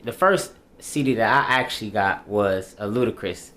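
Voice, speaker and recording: A man's voice: on the low side, normal speed, bright, forceful tone. A twenty-nine-year-old man from Dayton, Ohio, a rapper guest speaking in a thoughtful, easygoing way into a desk mic in a small studio. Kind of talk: casual interview. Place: small studio with backdrop, indoors. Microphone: desk mic on boom arm.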